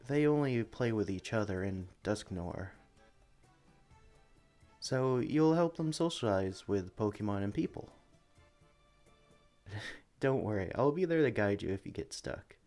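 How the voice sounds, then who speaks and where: A man playing a friendly, caring animal sanctuary worker in an ASMR roleplay, talking gently and encouragingly to a newcomer. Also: on the low side. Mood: reassuring. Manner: gentle, encouraging, chatty, coos to creatures. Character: friendly, caring experienced male Pokemon sanctuary worker showing newcomer around. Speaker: voice actor in audio roleplay. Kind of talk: ASMR roleplay, acted one-sided conversation.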